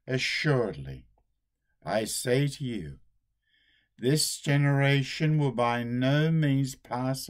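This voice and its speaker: An older man, a priest, giving a solemn sermon at a slow, deliberate pace, recorded on a webcam in a small room at home. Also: mid-range voice.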